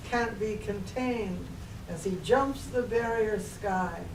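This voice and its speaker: A woman reads her poem aloud at an unhurried pace in front of a distant mic. The room echoes strongly around her mid-pitched voice at this live reading. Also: a little mellow, slight background noise. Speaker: poet reading own work. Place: public library reading room.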